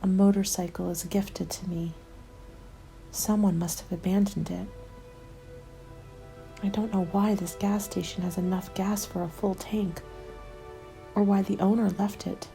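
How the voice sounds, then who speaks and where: Woman as a hushed, creaky-voiced lone apocalypse survivor. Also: mid-range voice, steady tone. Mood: weary, wondering. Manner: hushed, breathy, measured delivery. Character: weary, guarded lone female survivor journaling a zombie apocalypse. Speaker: narrator of an audio roleplay. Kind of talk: ASMR scripted first-person diary narration. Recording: music laid under the voice.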